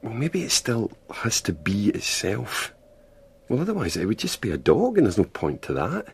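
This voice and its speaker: An actor playing a gruff, resolute Antarctic expedition man, terse and grim, in a radio drama. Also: on the low side, wildly swooping pitch, normal speed, a little mellow, exaggerated voice. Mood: working it out.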